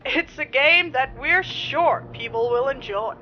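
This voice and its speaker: A woman's voice: high-pitched, measured pace, dull audio, soft background music, pitched above the performer's own voice. A woman voicing a male sales caller in a horror story, insistent and falsely polite, with a chirpy, sinister manner. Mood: chirpy, pushy.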